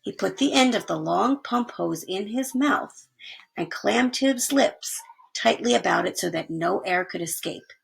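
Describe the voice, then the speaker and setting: A woman reads a children's storybook aloud. Her voice is creaky at a middling pitch, and her narration is expressive. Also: unhurried, low-quality recording. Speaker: story reader.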